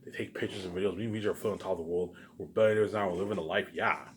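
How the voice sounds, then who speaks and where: A man giving a rambling, unscripted, repetitive monologue to viewers, emphatic, with loud bursts that drop into muttered asides. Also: low-pitched, steady tone, very fast, a little hiss or hum. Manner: repetitive, circling back to same phrases. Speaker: online video maker.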